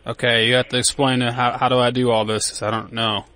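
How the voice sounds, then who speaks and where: A man's voice: mid-range voice, level tone, fast talker, sharp tone, slight background noise. A man chatting casually on a phone call, agreeable and sounding a little naive as he answers and asks simple questions. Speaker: prank call taker. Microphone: recording his side of a phone call.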